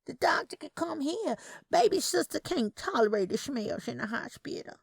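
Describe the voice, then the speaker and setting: A woman's voice: high voice, very animated, normal speed, creaky, no echo, clean studio sound, voice pushed up, full character voice. A woman voicing a chatty, fretful, tiny elderly twin sister with a Southern US accent in an audio story. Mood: pleading. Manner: chattering, folksy. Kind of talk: audio fiction character dialogue.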